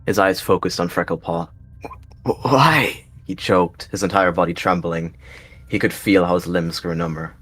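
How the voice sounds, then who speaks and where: A man's voice: low-pitched, flat delivery, moderate pace, fairly bright tone. A man narrating a dramatic roleplay story as a storyteller.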